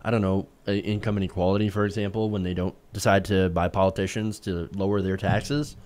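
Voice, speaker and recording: A man's voice: low-pitched, level tone, fairly quick, darkish tone. A man co-hosting a political talk show, arguing his points emphatically with rhetorical questions. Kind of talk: opinionated news commentary.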